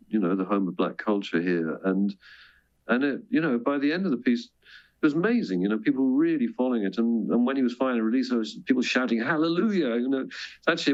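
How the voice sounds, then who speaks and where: A man's voice: medium pitch, muffled recording. A British man talking as an interview guest, telling stories in a rambling, self-interrupting, self-deprecating way. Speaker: guest, composer and choir director. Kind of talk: interview conversation, personal storytelling.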